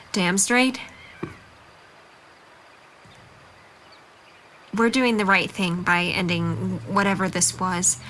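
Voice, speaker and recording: A woman's voice: mid-range voice, fairly bright tone, a little hiss or hum, natural voice. Direct, candid young woman, acted. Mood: frank, resigned. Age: young adult. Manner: direct, questioning, composed. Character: candid, level-headed young woman being broken up with. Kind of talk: naturalistic dramatic dialogue.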